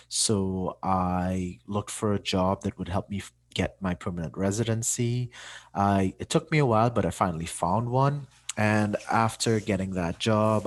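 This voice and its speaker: A man in a deep voice. He talks as a founder addressing an online community, informal and plain-spoken. The sound is close and dry, with very little room. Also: no echo. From Trinidad and Tobago. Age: 30s. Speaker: startup founder and chief executive. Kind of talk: prepared personal talk to an online community.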